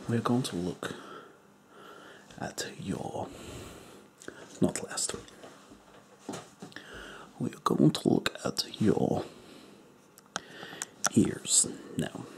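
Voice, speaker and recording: A man voicing an eccentric, crude foreign male doctor in a deadpan whisper. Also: fairly low pitch, creaky, a little hiss or hum, heavily acted. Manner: whispery, slow, deadpan joking. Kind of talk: comedic ASMR roleplay.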